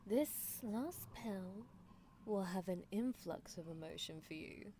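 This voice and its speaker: Woman acting a slow-talking, doting mad scientist girl. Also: mid-range voice, lively intonation, warm tone, a little hiss or hum. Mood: coaxing, eager. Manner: slow, coaxing, theatrical, drops into whispers. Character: doting, possessive young female mad scientist experimenting on her darling. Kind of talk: live ASMR roleplay.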